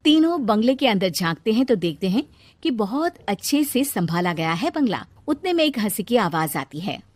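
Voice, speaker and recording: A woman's voice: medium pitch, big pitch swings, moderate pace, a little mellow, dry recording. A woman narrating a scripted cartoon story as the storyteller. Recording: music behind the narration.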